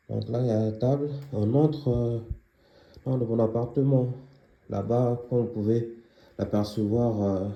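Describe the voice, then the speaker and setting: A young man giving a casual tour of his apartment, pausing often with fillers as he walks around. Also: fairly low pitch, vocal fry, dark tone, reverberant. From Congolese heritage, living near Paris. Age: young adult. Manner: hesitant, many filler pauses. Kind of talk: casual home tour vlog. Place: small apartment indoors.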